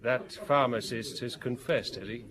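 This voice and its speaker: An actor playing a nosy, opinionated bar owner in a radio drama, chatty, blunt and emphatic. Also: male voice, mid-range voice, measured pace, fairly bright tone, lightly acted. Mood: nosy, gossipy.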